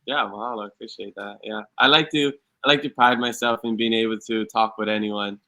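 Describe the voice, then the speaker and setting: A man's voice: on the low side, fairly even intonation, brisk, pushed and bright. A young man from Hawaii whose first language is Hawaiian, talking casually as a podcast guest, full of "you know" fillers and restarts. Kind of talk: casual interview talk.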